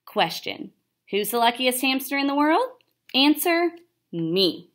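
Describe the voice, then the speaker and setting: A woman's voice: high-pitched, sing-song, slow, fairly bright tone, pitched above the performer's own voice, exaggerated voice. A young woman voicing a cocky, chatty male pet hamster in a storybook, self-satisfied and asking and answering himself. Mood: smug.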